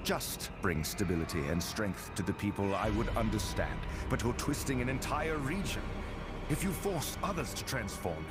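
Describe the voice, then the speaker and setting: A man's voice: fairly low pitch, animated, normal speed, close to an everyday voice. A man playing a righteous, defiant rebel in a video game, impassioned and accusing. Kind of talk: dramatic video game voice acting. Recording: loud game music over voice.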